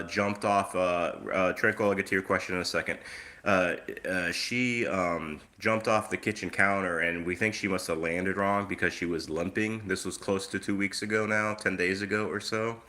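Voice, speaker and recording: A man's voice: low voice, sharp tone. A man hosting a casual live stream on his phone, chatty and easygoing, with a lingering cough that leaves his voice a little off. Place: outdoors, then indoors at home.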